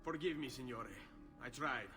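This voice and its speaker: A man's voice: mid-range voice, normal speed, over music, natural voice. A male actor playing an earnest, brooding young assassin with an Italian accent in a video game cutscene. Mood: solemn.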